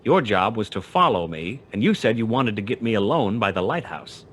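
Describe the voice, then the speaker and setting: Low-voiced wry noir detective. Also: male voice, big pitch swings, normal speed, fairly bright tone, slight background noise. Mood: wry, probing. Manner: wry, terse, slangy. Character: wry male hard-boiled private detective, narrating his own case. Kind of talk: 1940s noir radio play acting, first-person narration.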